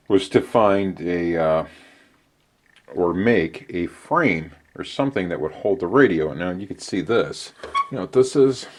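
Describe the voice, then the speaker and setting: A middle-aged man giving a casual hands-on how-to demonstration in an unhurried, conversational way with hesitant pauses. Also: sits low. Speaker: DIY channel host. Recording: clear background noise.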